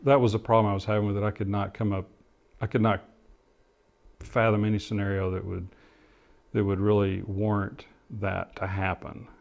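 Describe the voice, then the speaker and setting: Middle-aged male interviewee, fairly low voice, thoughtful and halting as he recounts and explains. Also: little pitch movement, gravelly, a little mellow, dull audio. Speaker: interviewee, former air traffic controller and pilot. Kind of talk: interview testimony, recollection.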